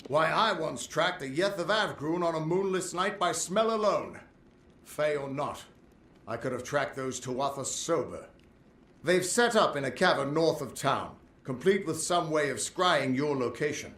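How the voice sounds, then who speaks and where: Lively, hearty veteran adventurer. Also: male voice, a little high, unhurried, echoey room. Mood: boastful. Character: boastful, loyal veteran adventurer seeking renewed glory. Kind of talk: fantasy game dialogue acting.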